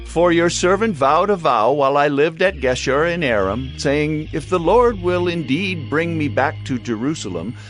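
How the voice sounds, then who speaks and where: An actor playing an ambitious, scheming young prince of ancient Israel in declamatory, theatrical audio Bible drama. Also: male voice, a little high, lively intonation, moderate pace, few pauses, a bit bright, soft background music. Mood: respectful, earnest.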